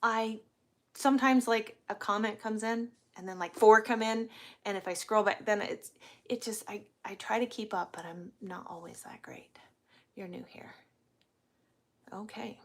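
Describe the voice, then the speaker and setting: A woman's voice: medium pitch, a little slow. Chatty, candid woman in her forties. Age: 40s. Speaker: livestream host, truck driver. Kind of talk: casual live chat answering viewer comments.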